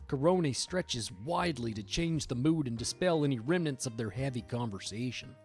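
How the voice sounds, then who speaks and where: A man's voice: medium pitch, lively intonation, moderate pace, darkish tone, faint music behind. A man streaming a visual novel, reading aloud theatrically and adding crude, casual asides.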